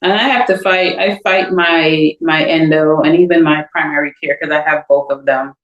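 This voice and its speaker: A woman's voice: sits low, fairly even intonation, moderate pace, roomy sound, dull audio. A middle-aged woman from Trinidad and Grenada, a podcast guest talking casually and laughing often as she tells her story. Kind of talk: casual interview chat.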